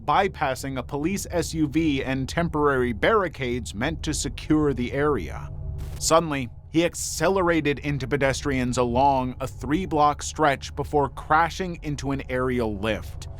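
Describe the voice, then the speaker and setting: A man reading scripted true-crime documentary narration in a measured, serious manner. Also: a little high, a bit bright, a little hiss or hum.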